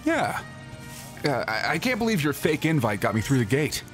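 A man's voice: on the high side, very animated, normal speed, fairly bright tone, faint music behind. A man playing a wisecracking young treasure hunter with sarcastic banter in a fan audio drama. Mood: wry.

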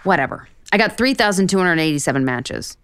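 An actress playing a flustered, self-deprecating author in a romantic comedy audio drama, with an American accent and halting, deadpan asides. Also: female voice, on the low side, smooth. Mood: sardonic, irritated. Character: flustered, sardonic, self-deprecating woman author on book tour.

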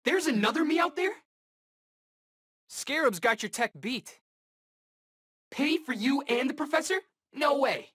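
A man's voice: very high voice, lively intonation, a little slow, long pauses, fairly bright tone, exaggerated voice. A man voicing a cocky, wisecracking eighteen-year-old superhero in a video game, talking in slangy battle quips. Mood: excited, exasperated. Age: young adult.

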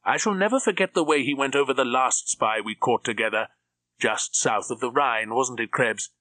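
A man's voice: medium pitch, normal speed, sharp tone, no echo, voice pushed up, close to an everyday voice. A man voicing a cold, menacing middle-aged villain with a clipped, commanding manner. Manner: clipped, commanding, coldly mocking.

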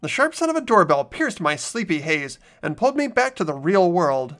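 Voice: mid-range voice, sing-song, moderate pace, a bit bright. A performer playing an earnest, easily startled teenage schoolboy who narrates and speaks in a visual novel dub. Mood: subdued narration. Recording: background game music.

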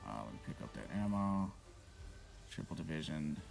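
A man giving casual, profanity-laced commentary while playing a shooter game, reacting loudly to what happens on screen. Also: a bass voice, sing-song, moderate pace, gravelly, soft background music. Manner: swears freely, reacts loudly to in-game events. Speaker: gameplay commentator.

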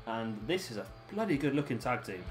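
A hoarse man, hosting and casually commentating a wrestling video game. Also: medium pitch, big pitch swings, a little fast, faint music behind. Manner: chatty, laughing, swears casually. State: hoarse, lost his voice. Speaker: host of a gaming channel.

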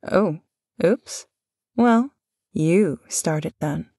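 A woman in a close-miked audio roleplay, speaking gently and affectionately with dry amusement, as a voice actor addressing a loved one. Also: lowish voice, drawn-out words, husky, no echo.

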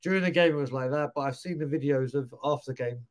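A man's voice: medium pitch, fast. A man in his forties, co-hosting a fan chat and prompting his friend with questions. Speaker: fan channel co-host. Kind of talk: casual chat. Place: bright bedroom indoors.

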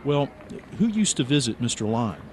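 An actor playing a blunt, dogged writer in a radio drama, speaking in a direct, questioning and insistent way. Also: male voice, mid-range voice, sing-song, moderate pace, a little mellow, slight background noise, lightly acted. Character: dogged, blunt American writer probing a friend's death.

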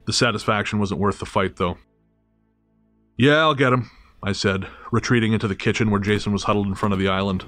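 A man's voice: lowish voice, fairly quick, fairly bright tone, soft background music, close to an everyday voice. A man playing a sardonic, bitter son, narrating a first-person horror audio drama in a wry, brooding way. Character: Mike, bitter, sardonic middle-aged son back home. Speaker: narrator and lead actor.

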